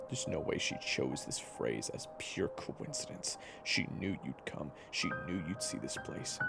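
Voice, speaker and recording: Male actor as a brooding vengeful hunter, gravelly, swinging intonation, clipped halting phrases, audio drama, music behind. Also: low voice, exaggerated voice. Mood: bitter resolve. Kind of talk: audio roleplay drama acting.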